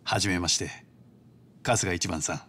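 A man's voice: low voice, very noisy, lightly acted. A man voicing a polite, calculating yakuza underboss in a video game, speaking formally and with cool composure. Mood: composed, deferential. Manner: formal, courteous, measured business-like speech. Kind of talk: dramatic video game voice acting.

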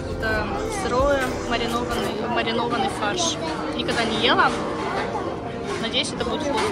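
A woman narrates her travels in a high, bright voice, chatting and exclaiming as she walks outdoors. Loud music plays over it, with a crowd bustling behind. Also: normal speed. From Kazakhstan. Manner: chatty, exclaiming, lively commentary while walking. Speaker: travel vlogger. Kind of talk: casual travel vlog chat. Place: outdoors in a city street.

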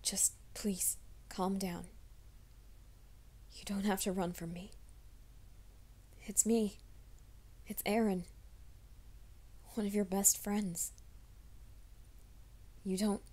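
A woman voicing a gentle, nervous young male werewolf in an ASMR roleplay, speaking softly and often in whispers. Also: mid-range voice, flat delivery, very slow, long pauses. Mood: soft reassuring. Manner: soft, hushed, often whispering.

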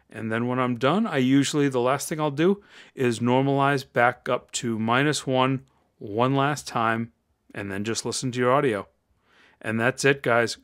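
A man with a deep voice, speaking close to the microphone, walking viewers through a software tutorial in an upbeat, encouraging way. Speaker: voice-over tutorial host. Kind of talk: screen-recorded software tutorial.

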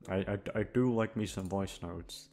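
A man's voice: deep voice, moderate pace, dark tone, soft background music. A man chatting casually to his listeners as an ASMR creator, rambling hesitantly with self-deprecating swearing. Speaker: ASMR audio creator and host.

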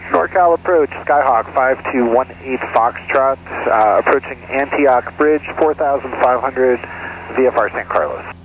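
A man's voice: medium pitch, unhurried. A man making procedural pilot radio calls in clipped, formulaic phrases through an aircraft headset. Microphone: aviation headset. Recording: dull aircraft radio with engine noise.

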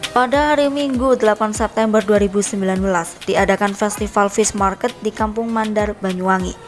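A woman reporter, reading a scripted news voice-over in an even, measured way. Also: medium pitch. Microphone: studio voice-over mic. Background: background music.

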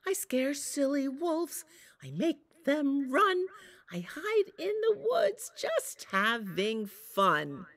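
Playful mama wolf for a picture book read-aloud, high sing-song chant, slow, played by a woman. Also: sing-song, fairly bright tone, full character voice. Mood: teasing. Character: mother wolf, teasing and loving.

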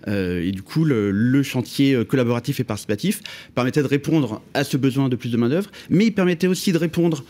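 A middle-aged man speaks into a studio microphone as a guest on a radio panel. He talks in a halting way, stopping and repeating small words before he finishes each thought. His voice sits at an ordinary pitch for a man. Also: animated, darkish tone. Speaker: housing project manager. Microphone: studio mic on stand.

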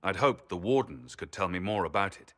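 Grim heroic adventurer for a game, very low voice, forceful, grave n measured. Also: male voice, animated. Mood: grave, resolute. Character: grim, dutiful heroic adventurer, a resolute man. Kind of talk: dramatic video game acting.

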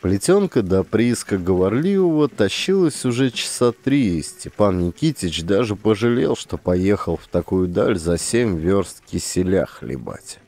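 A male narrator reads a story aloud with a low, steady voice. His delivery is expressive and theatrical. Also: unhurried, few pauses. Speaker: audiobook narrator. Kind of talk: dramatic audiobook reading.

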